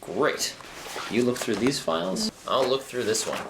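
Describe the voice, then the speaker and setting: A man's voice: medium pitch, unhurried, close to an everyday voice. A man playing a self-important, oblivious detective in a comedy audio drama, speaking in a pompous, theatrical way. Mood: self-satisfied. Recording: echoey room, faint noise.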